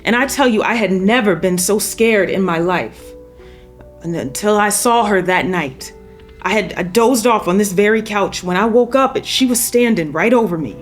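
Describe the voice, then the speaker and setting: A woman's voice: mid-range voice, moderate pace, soft background music, close to an everyday voice. A woman playing an angry, worn-down mother in a script read, telling her story in a blunt, folksy way. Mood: shaken, bitter. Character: angry, weary mother of a missing teenage daughter. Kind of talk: screenplay table read.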